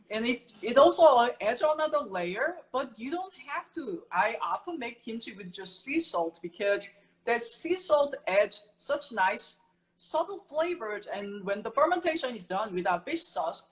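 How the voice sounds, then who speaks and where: A middle-aged woman from Korea talks through how she makes kimchi in a relaxed chat. Her voice sits a little high, and she gets lively as she explains with everyday comparisons. She sounds like a teacher sharing something she loves. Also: poor audio. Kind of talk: casual cooking chat.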